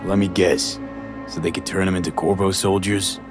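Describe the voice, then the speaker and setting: A man's voice: low-pitched, flat delivery, normal speed, natural voice. A man playing a cynical, streetwise mercenary in a video game, with an American accent and clipped, slangy, wry delivery. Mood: probing. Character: cynical, streetwise male mercenary, blunt and probing. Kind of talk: video game cutscene acting. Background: music under the voice.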